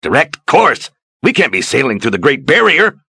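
A man playing a gruff, nervous ship captain who shouts and blusters. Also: deep voice, animated, normal speed, no room sound, full character voice. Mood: blustering.

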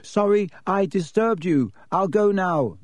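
A man's voice: fairly high pitch, measured pace, warm tone, slightly rough, no room sound, pitched above the performer's own voice. A man voicing a nervous twelve-year-old schoolboy wizard who talks in a shaky, stammering, apologetic way.

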